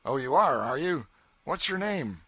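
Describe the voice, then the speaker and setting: A gruff raftsman boss questions a stowaway in a commanding, no-nonsense way, as a man reads him aloud. The voice is bright and slightly husky, with lively pitch, and the recording is close and dry. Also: measured pace, no echo, heavily acted. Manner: gruff, commanding, then lenient. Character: stern but fair older raftsman leader.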